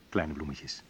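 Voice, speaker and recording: An actor playing a reflective man in a naturalistic radio drama, talking in a conversational, hesitant, wry way with a husky voice. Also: slight background noise. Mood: reassuring, patient. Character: Menno, reflective man recalling a school friend. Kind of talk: naturalistic radio drama monologue and phone talk.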